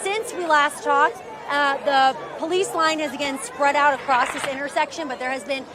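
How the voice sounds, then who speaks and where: A woman field reporter giving a live news report from a street protest, steady and informative with frequent fillers. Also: high voice, moderate pace. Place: outdoors on a city street at a protest. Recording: outdoor street with crowd noise.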